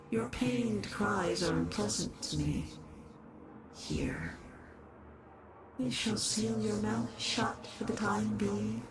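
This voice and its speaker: A woman voicing a possessive, obsessive eldritch horror in a scripted roleplay, speaking formally and deliberately, with a creaky, soothing yet sinister delivery. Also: medium pitch, heavy background noise. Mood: soothing, menacing. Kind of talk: scripted roleplay audio monologue.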